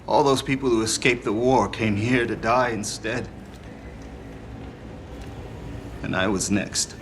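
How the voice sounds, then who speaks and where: An actor playing a grave, conscience-stricken scientist in a video game cutscene, speaking in a solemn, troubled way. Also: male voice, on the low side, moderate pace, noisy background, close to an everyday voice. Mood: grim. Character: grave, conscience-stricken male scientist, horrified by what he learns.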